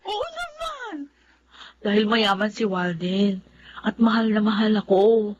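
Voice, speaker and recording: A woman's voice: medium pitch, sing-song, slow, dull audio, slight background noise. An actress playing a headstrong, lovestruck young woman in an emotive, flippant radio drama style. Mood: defiant. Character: headstrong, lovestruck young woman, defiant toward her family. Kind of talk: Filipino radio drama acting.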